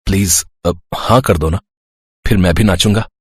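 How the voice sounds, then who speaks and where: Polite young suitor, low husky voice. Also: male voice, moderate pace, no echo. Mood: pleading, romantic. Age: young adult. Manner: hesitant, friendly, polite. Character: polite, well-mannered rich young man, shyly smitten.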